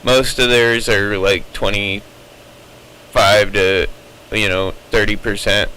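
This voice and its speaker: Bright-voiced man rambling on CB radio. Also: fairly low pitch, steady tone, takes it slowly, clean tone, low-quality recording. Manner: rambling explainer, opinionated. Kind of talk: casual chat over CB radio. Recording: CB radio chatter with faint noise.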